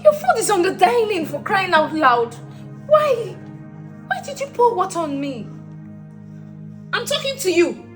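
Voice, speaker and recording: High-pitched young Nigerian housemaid. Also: female voice, very animated, unhurried, pauses often, soft background music, exaggerated voice. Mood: indignant. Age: young adult. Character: wronged young housemaid, indignant with a child, meek to employers. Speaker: actor in a family drama. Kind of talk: naturalistic screen drama.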